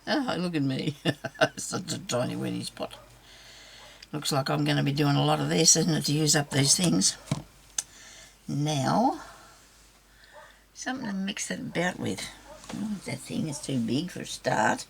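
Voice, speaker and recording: Raspy low-voiced woman crafting. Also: lively intonation, measured pace, long pauses, slight background noise. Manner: rambling, self-chuckling, talks to viewers. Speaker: craft vlogger. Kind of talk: chatty crafting demo, thinking aloud.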